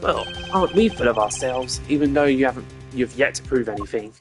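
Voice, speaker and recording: A man's voice: mid-range voice, animated, fairly quick, music in the background, close to an everyday voice. Man doing a cocky, flippant rival prosecutor. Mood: smug. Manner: casual, smug, taunting. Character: cocky, flippant young male rival prosecutor, evasive suspect.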